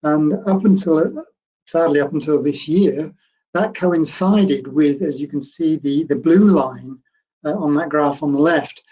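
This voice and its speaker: Croaky-voiced older man lecturing. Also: a little high, a little slow, dark tone, lo-fi sound. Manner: conversational, encouraging explainer. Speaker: medical school professor. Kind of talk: online lecture.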